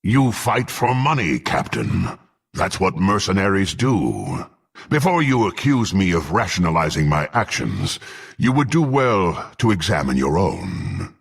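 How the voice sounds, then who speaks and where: A menacing, proud half-orc fighter from a fantasy game. He talks slowly and formally in a gruff, husky voice, swinging from growls to haughty commands. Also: low-pitched, huge pitch swings, full character voice. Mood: scornful. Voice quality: gruff, gravelly. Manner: haughty, threatening, formal archaic speech. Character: menacing proud half-orc warrior sworn to dark patron. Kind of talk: dramatic fantasy game voice acting.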